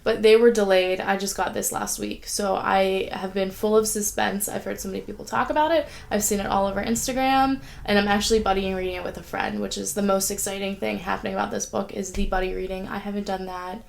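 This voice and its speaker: A woman's voice: mid-range voice, steady tone, a little fast, creaky, few pauses, slight background noise. Young adult woman, casual at-home vlogger, chatty and rambling, a little sick and sniffly. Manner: chatty, rambling, frequent "like" fillers. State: sniffly with a sore throat. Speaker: writing vlogger. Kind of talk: casual vlog chat. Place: at home, indoors.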